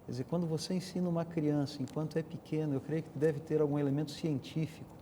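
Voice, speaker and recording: A man's voice: a little high, fairly even intonation, fast, dark tone, a little hiss or hum. A middle-aged or older man with a Brazilian accent, telling stories conversationally as a panel guest. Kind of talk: conversational storytelling in a discussion.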